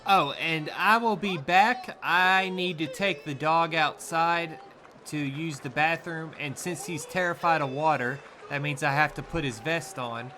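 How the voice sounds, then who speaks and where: A man's voice: a little high, a little slow, bright, forceful tone, a little hiss or hum. A man with a Southern US accent giving laid-back, rambling sumo commentary, laughing easily. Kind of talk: casual live commentary.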